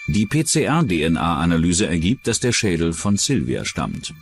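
A man's voice: deep voice, very animated, slow, soft background music. A man narrating a scripted true-crime documentary.

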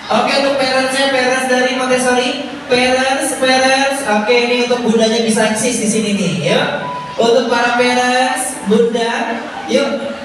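An event MC at an aquarium, cheerfully counting out loud and directing a group of parents for photos. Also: sits high, little pitch movement, moderate pace, background noise. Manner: upbeat, counting out loud and giving cheerful instructions. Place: large indoor aquarium hall. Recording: echoey hall from far off, with music and crowd.

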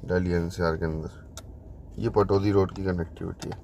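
A man's voice: low-pitched, steady tone, moderate pace, gravelly, darkish tone, slight background noise. A man giving a casual walkthrough commentary as a property consultant showing a site. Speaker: real estate consultant giving site updates.

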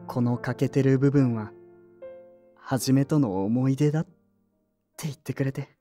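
A woman's voice: extremely low pitch, a little slow, dark tone, soft background music. A woman playing an earnest, sentimental young man who grieves and clings to memories, polite and emotional, in an audio drama. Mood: earnest, wistful. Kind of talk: Japanese audio drama acting.

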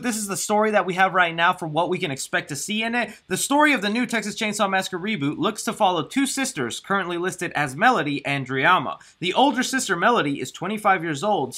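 A man's voice: high voice, pushed and bright. A young man casually chatting to camera as a movie news vlogger, enthusiastic and talking straight to his viewers from a small room full of collectible figures.

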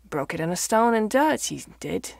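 Storybook role: blunt rural farmer played by a woman, gravelly, rustic dialect. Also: medium pitch, very animated, moderate pace, a little mellow, no echo, clean studio sound. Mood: grumbling. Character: gruff, blunt old Yorkshire mammoth farmer, male.

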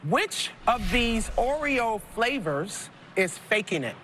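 A woman in her thirties hosting a TV game show, playful and quick with slang and jokes. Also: fairly high pitch, slight background noise. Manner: playful, lively, Southern-tinged slang like y'all. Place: large studio set of a supermarket. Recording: TV broadcast over loud music. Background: loud game show music.

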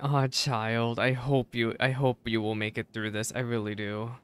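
Clear-voiced young man, chatty streamer. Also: mid-range voice, flat delivery, normal speed. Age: young adult. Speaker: game streamer. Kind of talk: casual reaction commentary. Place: indoor streaming setup. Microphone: close desk microphone, headset worn.

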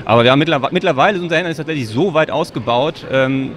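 A man's voice: a little high, very fast. A man in his thirties in a casual, joking interview chat, speaking into a handheld microphone. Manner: joking, informal. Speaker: whisky bottler company representative being interviewed. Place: whisky fair stand. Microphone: shared handheld microphone. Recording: busy fair crowd behind.

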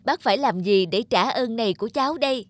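A woman's voice: fairly high pitch, animated, fast talker, background noise, natural voice. A woman voicing a kindly, grateful mother hen in a children's cartoon. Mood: grateful, regretful. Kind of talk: children's cartoon storytelling dub.

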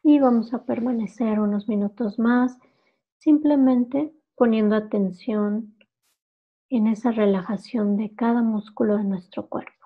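A woman leading a guided meditation, calmly giving instructions in short, unhurried phrases. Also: a little high, phone-quality sound.

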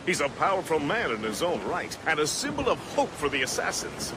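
A man's voice: fairly high pitch, sing-song, brisk, background noise. A man playing a jovial, talkative, folksy first mate of a warship in a video game. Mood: chatty, reminiscing. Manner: folksy, chatty. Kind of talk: video game cutscene acting. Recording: music under the dialogue.

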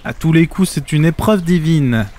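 A man's voice: a little high, moderate pace, a little mellow, close to an everyday voice. A man playing a chatty, naive, cheeky everyman in a comedic audio drama, rambling and hesitant in a familiar way. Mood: pleased, casual.

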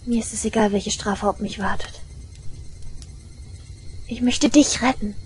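A woman playing an obsessive, vengeful young shrine maiden in a German audio drama, eerily tender, with breathy whispers and slow menace. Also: medium pitch, fairly even intonation, a little mellow, slight background noise, close to an everyday voice.

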